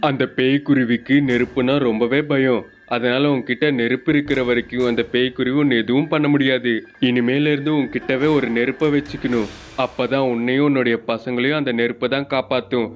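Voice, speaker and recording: A man playing a grave, low-voiced holy sage. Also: fairly even intonation, fairly quick, faint music behind, close to an everyday voice. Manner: solemn, instructing. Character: grave wise holy advisor in a cave.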